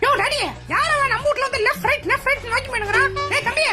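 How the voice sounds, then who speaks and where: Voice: extremely high pitch, fast. An actor voicing a cheeky, bossy little child in a comic dub, chattering mock-seriously over music. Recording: loud music behind voice.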